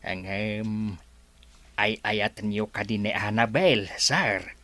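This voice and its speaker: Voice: medium pitch, slow pace, sharp tone. An actor in a radio drama playing an obliging servant who answers a rich man with "sir". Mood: deferential.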